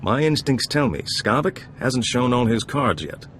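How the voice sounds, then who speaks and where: An actor playing a suave, calm information broker in a video game, speaking in a measured, wry and courteous way. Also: male voice, medium pitch, slight background noise. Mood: serious, measured.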